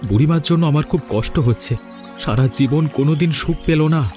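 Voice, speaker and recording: A man's voice: a little high, a little fast, dark tone, close to an everyday voice. A man voicing an anxious, scheming village man in a cartoon fairy tale. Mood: concerned. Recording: background music under dialogue.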